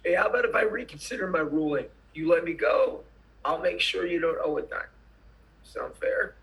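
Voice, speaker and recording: A man's voice: on the high side, normal speed, a bit bright, low-quality recording. A man playing a cynical, hard-drinking tax auditor in a screenplay table read, dry and sarcastic. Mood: sardonic, bargaining. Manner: dry, sarcastic, gruffly blunt.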